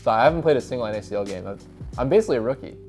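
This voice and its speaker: Animated fast-talking man. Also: medium pitch. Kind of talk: fast casual banter. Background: background music.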